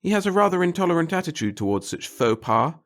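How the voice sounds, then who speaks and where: A man's voice: fairly high pitch, big pitch swings, quick pace, a bit bright, voice pushed up. A man voicing a servile, fussy little clerk who babbles in flowery, pompous phrases, as a character in a sci-fi audiobook. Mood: apologetic, fussy.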